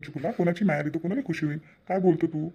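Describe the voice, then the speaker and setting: A man's voice: fairly high pitch, fast talker, vocal fry, warm tone, breathy edge, lo-fi sound. A man voicing a sensible, hospitable cartoon grandfather with a chiding, reasoning way of talking and a Vidarbha Marathi accent. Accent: Vidarbha Marathi (Varhadi). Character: sensible, hospitable grandfather scolding his tactless wife. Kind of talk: cartoon family drama dialogue.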